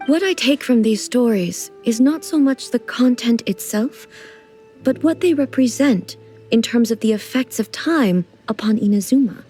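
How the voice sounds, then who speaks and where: Woman as a dignified, higher-voiced solemn ruler. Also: expressive, mellow, faint music behind, close to an everyday voice. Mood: reflective, sincere. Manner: formal, composed, measured. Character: dignified, earnest female ruler, sheltered and solemn. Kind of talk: video game character acting.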